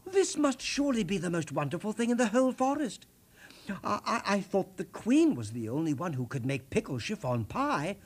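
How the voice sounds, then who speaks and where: A man voicing an earnest, kind young storybook prince full of wonder, with a gentle hesitant stammer. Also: sits high, sing-song, moderate pace, breathy edge, pitched above the performer's own voice, heavily acted. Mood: delighted wonder.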